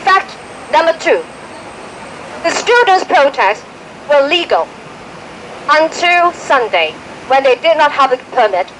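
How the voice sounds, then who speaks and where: A woman reading a formal statement at a press conference, going through it point by point in a measured way. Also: sits high, big pitch swings, a bit bright, rough recording. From Hong Kong. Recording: outdoor press event with faint street noise.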